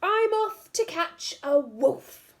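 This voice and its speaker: Plucky storybook girl hunter played by a woman, high voice, unhurried and expressive. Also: full character voice. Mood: bold.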